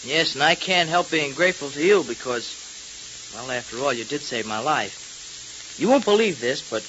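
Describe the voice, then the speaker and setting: Earnest, forceful boy sidekick. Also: mid-range voice, animated, moderate pace. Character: earnest, law-abiding brave boy sidekick. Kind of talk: 1930s radio acting. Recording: hissy, muffled 1930s radio transcription.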